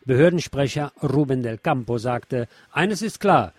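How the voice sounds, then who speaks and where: A man's voice: medium pitch, lively intonation, measured pace, no room sound. A man reading scripted news and press reports as a radio presenter.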